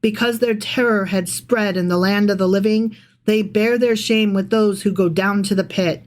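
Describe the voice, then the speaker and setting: A woman's voice: medium pitch, fairly even intonation, moderate pace, a little mellow. Irreverent woman podcaster, casual and blunt. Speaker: podcast co-host. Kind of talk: casual Bible discussion with some reading aloud.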